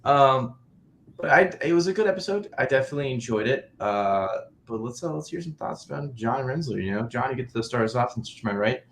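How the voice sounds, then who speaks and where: A man hosting a casual podcast chat, slightly hoarse from a cold, chatty and quick to laugh. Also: mid-range voice, expressive, slight background noise. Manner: chatty, laughs often, many "you know"s. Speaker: podcast host.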